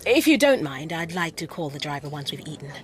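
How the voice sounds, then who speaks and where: Low-voiced, cool woman con artist. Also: big pitch swings, brisk, faint music behind. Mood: polite, composed. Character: cool, smooth-talking female con artist with several aliases. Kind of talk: naturalistic radio drama acting.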